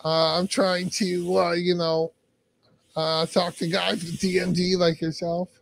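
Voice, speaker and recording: A man's voice: high voice, flat delivery, unhurried, creaky. A man hosting a casual interview, encouraging and affirming his guest as he asks questions. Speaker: host.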